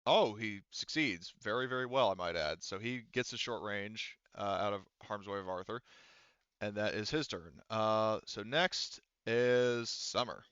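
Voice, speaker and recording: A man with a fairly low, clean voice runs a tabletop game. He talks casually and improvises as he goes, cursing freely. The sound is close and dry, a touch dull. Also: measured pace, a bit bright, clean tone, dry recording. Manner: casual, swears. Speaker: game master. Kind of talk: improvised tabletop game narration over voice chat.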